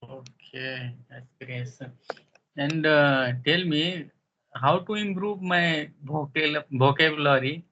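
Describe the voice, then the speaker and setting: A man with a somewhat high voice, heard through dull, lo-fi audio. He is a non-native English learner in a casual practice chat, putting his questions together haltingly in simple, broken phrases. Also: bright, forceful tone, a little hiss or hum. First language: not English.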